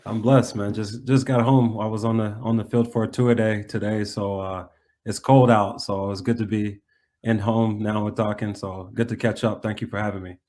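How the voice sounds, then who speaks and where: Low-voiced, even-toned American man. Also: moderate pace. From United States. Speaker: soccer head coach being interviewed. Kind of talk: conversational interview answers.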